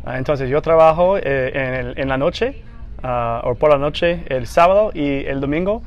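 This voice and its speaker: A young man with an American accent, a native English speaker, talking in a TV studio interview, halting and searching for words, a little nervous and laughing. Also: on the high side. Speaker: news anchor guest. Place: small TV studio, seated on couch.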